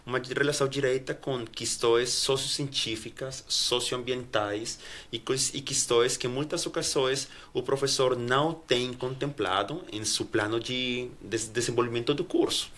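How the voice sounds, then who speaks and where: Colombian man, Spanish first language, bright voice, academic guest answers with frequent restarts. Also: medium pitch, fairly even intonation. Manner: halting, many restarts and fillers. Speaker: guest professor.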